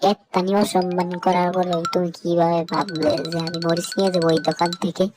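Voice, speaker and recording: Lady doing put-upon bangladeshi village guy in a cartoon, grumbly but earnest, loud music behind. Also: fairly low pitch, flat delivery, a little fast, close to an everyday voice. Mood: offended. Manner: complaining, earnest. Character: aggrieved, henpecked village husband out shopping. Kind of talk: amateur comic cartoon dubbing.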